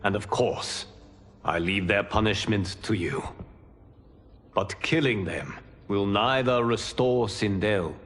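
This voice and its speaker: Calm wise protector, slow n formal, big reverb, game cutscene. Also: male voice, fairly low pitch. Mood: calm counsel. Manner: measured, formal, diplomatic. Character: calm, wise male guardian counseling restraint. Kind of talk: dramatic video game cutscene acting. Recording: long hall reverb, faint score.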